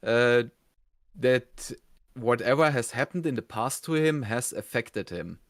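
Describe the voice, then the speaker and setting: A man co-hosting a casual review, explaining things in a halting, conversational way. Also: medium pitch, no room sound, clean studio sound. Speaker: review show co-host.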